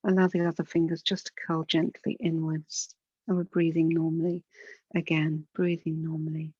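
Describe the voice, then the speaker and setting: Female yoga nidra guide, lowish pitch, soothing and unhurried, very dry room sound. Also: no room sound, lo-fi sound. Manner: soothing, unhurried, gentle guidance with pauses. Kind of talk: guided relaxation and breathing instruction.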